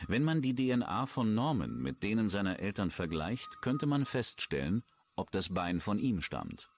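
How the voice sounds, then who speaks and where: A man's voice: fairly low pitch, very animated, normal speed. A man with a standard German accent reading scripted true-crime documentary narration.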